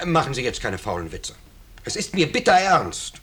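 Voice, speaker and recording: An actor playing a pompous, blustering but cowardly middle-aged husband and house owner in 1950s radio drama style. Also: male voice, medium pitch, sing-song, moderate pace, a bit bright. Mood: indignant. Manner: indignant, self-important, emphatic. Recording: noisy vintage 1950s radio recording.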